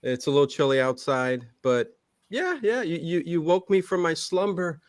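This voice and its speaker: A man's voice: on the high side, animated, normal speed, no room sound, clean studio sound. A man with an American Midwestern accent, talking casually and colloquially as a guest, often repeating himself. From Cleveland, Ohio, United States. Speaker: guest, horror show streamer. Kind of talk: casual chat.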